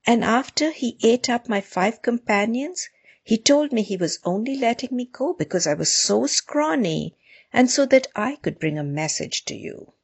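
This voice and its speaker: A woman's voice: fairly high pitch, very animated, measured pace, gravelly, slightly rough, voice pushed up, exaggerated voice. A woman voicing a small, meek but clever rabbit, polite and pleading, in a storybook tale.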